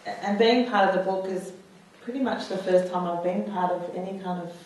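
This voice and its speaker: A woman's voice: fairly low pitch, fairly even intonation, normal speed, darkish tone. Woman speaking hesitantly in an echoey hall. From United States. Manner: hesitant, many fillers and restarts. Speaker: panel speaker. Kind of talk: personal testimony at a public talk. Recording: echoey hall, mic far off.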